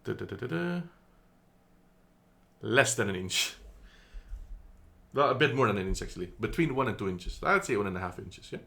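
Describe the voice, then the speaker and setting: A man with a somewhat low voice talks through a product review in an easy, unscripted way. He is from the Netherlands and fills pauses with ums, often correcting himself mid-sentence. The sound is a phone recording in an indoor room. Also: big pitch swings, vocal fry, sharp tone. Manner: chatty, hesitant, many ums and self-corrections. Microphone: phone camera.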